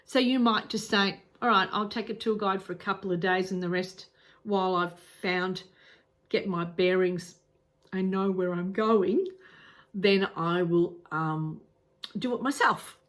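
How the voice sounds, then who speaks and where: A woman's voice: medium pitch, a little slow, a bit bright. A woman with an Australian accent giving casual travel advice to camera, earnest, with frequent ums and restarts. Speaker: travel vlogger.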